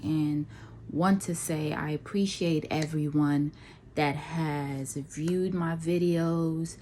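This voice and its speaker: A woman in her thirties from Nigeria giving a personal introduction to her viewers, talking slowly and deliberately with many pauses and ums. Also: deep voice, mellow, a little hiss or hum. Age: 30s. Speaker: vlogger. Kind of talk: casual personal introduction to camera.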